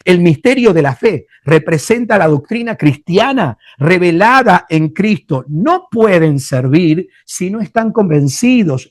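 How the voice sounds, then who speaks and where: High-voiced Rioplatense pastor, emphatic. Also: male voice, dry recording, clean studio sound. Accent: Rioplatense Spanish. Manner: emphatic, addresses listeners fondly, frequent hesitations. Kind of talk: Bible teaching sermon.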